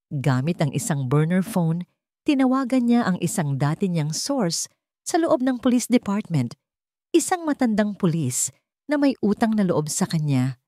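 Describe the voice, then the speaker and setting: A woman's voice: sits low, warm tone, dry recording, studio quality. A woman with a Filipino accent narrating a scripted dramatic story.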